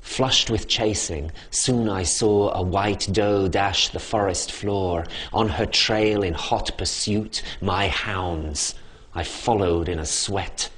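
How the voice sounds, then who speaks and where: Man, poetry recitation, measured rhythm, low voice, unhurried. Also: a bit bright, breathy edge. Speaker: poetry reader.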